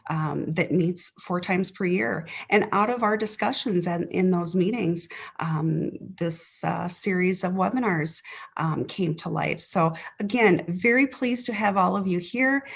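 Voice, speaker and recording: A woman's voice: fairly low pitch, creaky, breathy edge. A woman giving welcome remarks for a nonprofit webinar, hesitant with many fillers, over a video call. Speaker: nonprofit representative.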